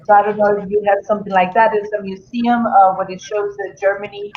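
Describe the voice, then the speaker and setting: Woman host, eager questioner, slightly dull audio, faint rumble. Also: very animated, fairly quick. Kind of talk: interviewing guests.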